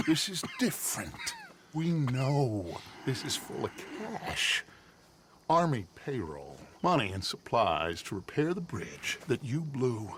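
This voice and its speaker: An actor playing a charismatic, scheming American outlaw gang leader in a dramatic video game, speaking in grandiose, theatrical speeches. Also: male voice, mid-range voice, sing-song, takes it slowly, background noise. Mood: urgent, persuasive.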